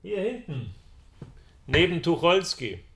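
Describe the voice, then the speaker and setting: A wry, bookish fellow in a radio drama set in 1930s Germany. His speech is very unhurried, with a creaky, gravelly voice. He muses with dry amusement. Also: a little high, very animated, a bit bright, heavily acted. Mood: helpful, eager. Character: bookish, wry male friend in Nazi-era Germany.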